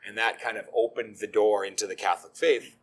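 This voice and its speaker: A man's voice: lowish voice, fairly even intonation, brisk, breathy edge, poor audio. A middle-aged man, a deacon, telling his faith story in an easygoing, self-deprecating way with frequent ums. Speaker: deacon, guest. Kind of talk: conversational faith testimony.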